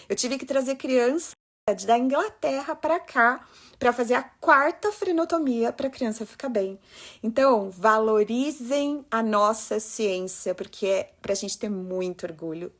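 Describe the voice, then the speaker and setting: A woman with a Brazilian Portuguese accent, a health professional giving advice to parents and colleagues in an emphatic, urgent way. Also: mid-range voice. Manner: emphatic, urgent, addresses listeners directly. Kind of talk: passionate talk.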